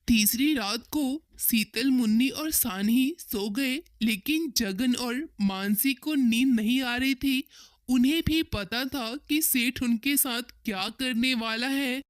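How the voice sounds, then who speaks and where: A woman's voice: fairly high pitch, takes it slowly, no echo, clean studio sound. A woman narrating a scripted cartoon story as a storyteller.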